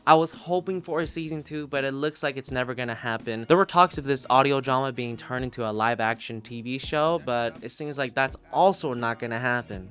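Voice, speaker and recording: A man with a mid-pitched voice talks like a casual YouTube reviewer recommending things he loves. He is emphatic and gushing and swears freely, with music playing under his voice. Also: fairly bright tone. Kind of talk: casual enthusiastic review, recommending audio dramas. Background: background music.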